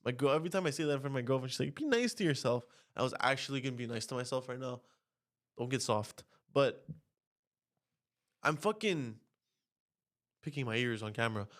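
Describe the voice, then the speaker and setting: A young man with a stuffy, nasal voice talks straight to camera in a rambling podcast monologue. He swears constantly and his pitch climbs sharply when he gets worked up. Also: lively intonation, a little fast, long pauses, a bit bright. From Canada. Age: young adult. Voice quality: nasal, congested. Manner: profane, rants with rising pitch. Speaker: podcast host. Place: home, on camera.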